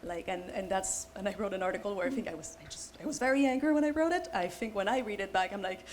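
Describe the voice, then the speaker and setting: A young woman on a panel, talking in an animated, casual way with many fillers. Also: medium pitch, quick pace. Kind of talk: panel discussion. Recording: faint background noise.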